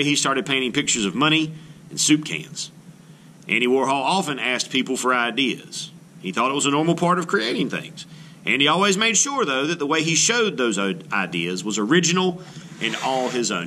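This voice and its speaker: A man reads aloud to children like an art teacher, with widely swinging intonation. His voice is bright and forward, and he explains things expressively. Faint room noise sits behind him. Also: mid-range voice. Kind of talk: read-aloud of a children's book with teaching asides. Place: indoors, book filmed on a table.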